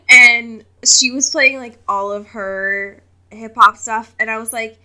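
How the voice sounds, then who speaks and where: Casting: young female podcast co-host, higher-pitched and piercingly bright, casual, self-mocking, a touch theatrical. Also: slow pace. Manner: self-mocking, playfully dramatic. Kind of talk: casual comedic chat.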